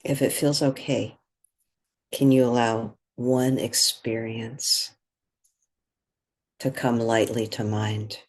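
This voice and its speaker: A husky, breathy voice leads a guided meditation at a very slow pace. The delivery is gentle and measured, with long pauses between short phrases of instruction. The pitch sits in a middle range. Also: level tone.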